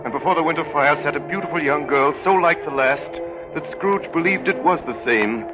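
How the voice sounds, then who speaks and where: A man's voice: on the high side, fairly quick, natural voice. A man narrating as a grave literary storyteller in 1930s radio drama style. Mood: grave storytelling. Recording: muffled vintage radio recording, music behind.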